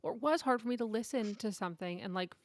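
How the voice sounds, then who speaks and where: A woman's voice: on the high side, expressive, quick pace, no room sound. A woman co-hosting a podcast in a casual, conversational and giggly way. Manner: informal and conversational, often giggly. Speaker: podcast co-host. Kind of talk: casual chat.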